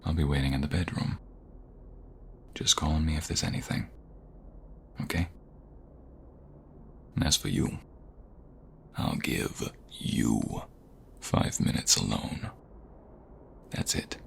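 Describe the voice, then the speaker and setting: Protective young mobster, male actor: rock-bottom pitch, crackling fry, menacing and deliberate, audio roleplay. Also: lively intonation, a bit bright, exaggerated voice. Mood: grudging, stern. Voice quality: gravelly. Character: protective, hot-tempered male mobster, tender with his sweetheart.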